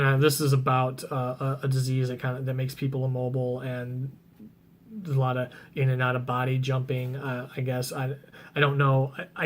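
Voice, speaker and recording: A man chatting casually about books he plans to read, rambling with lots of ums and restarts, his voice getting rough and scratchy. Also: medium pitch. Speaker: book vlogger.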